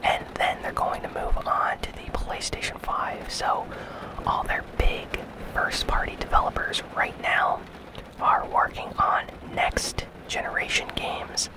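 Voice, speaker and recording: A host whispering casual gaming news in a soft, breathy, unhurried ASMR style. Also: poor audio.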